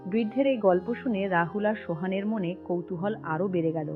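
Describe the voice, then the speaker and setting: A woman giving scripted storybook narration for a cartoon in an even storytelling manner. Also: medium pitch, fairly quick, phone-quality sound. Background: background music.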